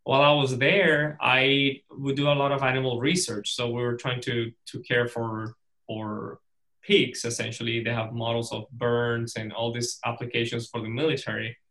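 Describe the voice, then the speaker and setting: A man's voice: medium pitch, flat delivery, moderate pace, fairly bright tone, poor audio. A middle-aged man from Venezuela, a guest speaker telling the story of his career to an audience. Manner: storytelling, with restarts and laughs. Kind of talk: personal story talk to an audience.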